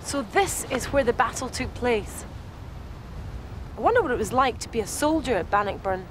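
A woman's voice: on the high side, lively intonation, moderate pace, noisy background. A Scottish woman presenting in the style of scripted educational television narration.

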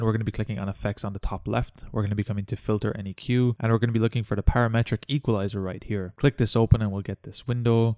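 Fast-talking man with a lowish voice. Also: darkish tone, no room sound, clean studio sound. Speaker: tutorial host. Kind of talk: scripted step-by-step software tutorial narration.

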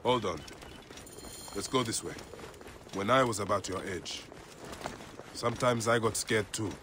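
An actor playing a steady, proud Egyptian warrior father in a dramatic game cutscene. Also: on the low side, measured pace, noisy background, lightly acted. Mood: fatherly, reassuring. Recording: game music behind the voice.

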